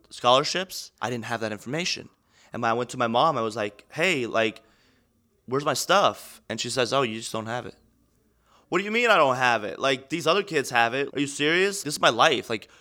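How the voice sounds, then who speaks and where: Bright-voiced young man telling his story. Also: mid-range voice. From Estonia. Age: 20s. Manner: candid, earnest storytelling, swings between quiet and fired up. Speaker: aspiring pro wrestler. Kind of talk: documentary interview.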